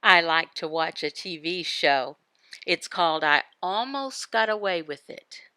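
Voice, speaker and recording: A woman teaches a devotional at a slow, deliberate pace. Her voice is bright and forceful with a gravelly creak, and the sound is close and dry. She speaks in short, halting phrases, posing questions and answering them herself. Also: lowish voice, expressive, no echo. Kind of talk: devotional teaching voicing a signed sermon.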